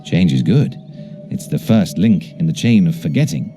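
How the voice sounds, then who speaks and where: An actor playing a controlling, calmly insistent doctor hypnotizing a patient in a video game, with commanding, deliberate phrasing. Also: male voice, sits low, over music. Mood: soothing, insistent. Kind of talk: theatrical video game voice acting.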